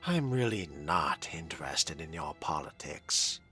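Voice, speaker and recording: A man's voice: very deep voice, expressive, unhurried, sharp tone, faint music behind. A man narrating a video game in character, with a dry, sardonic and wordy manner. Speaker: gamer role-playing his character.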